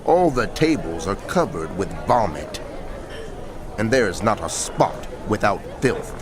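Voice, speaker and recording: A man's voice: fairly low pitch, big pitch swings, a little slow, noisy background. A man narrating scripture dramatically, in a declamatory and emphatic manner.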